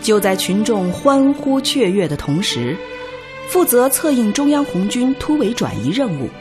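A woman's voice: a little high, sing-song, moderate pace. A woman narrating a radio drama from a script in a formal, solemn, declamatory manner. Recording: music clearly behind.

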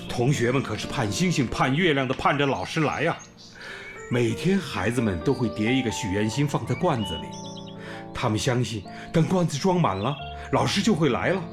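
A man's voice: mid-range voice, close to an everyday voice. A man acting as a kindly, courteous village primary school principal in a Chinese radio drama. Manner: courteous, welcoming. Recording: music bed behind the voice.

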